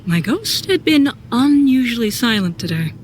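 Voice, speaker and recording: A woman's voice: on the high side, sing-song, moderate pace. Woman as troubled sci-fi soldier narrator, noisy ambience. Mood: anxious. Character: troubled, brooding sci-fi soldier recounting missions. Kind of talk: first-person audio drama narration. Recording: clear background noise and ambience.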